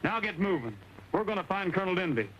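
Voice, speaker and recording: An actor playing a pushy, bullying cavalry soldier in an old Western, brusque and full of orders. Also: male voice, high voice, sing-song, quick pace, a bit bright, highs cut off. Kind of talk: old Western film acting. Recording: hissy old film soundtrack.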